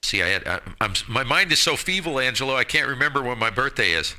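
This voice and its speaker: A man's voice: medium pitch, sing-song, fast, sharp tone. A man of about sixty chatting casually as an amateur radio operator, joking, slangy and self-deprecating. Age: 59, about to turn sixty. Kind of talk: casual radio chat with friends.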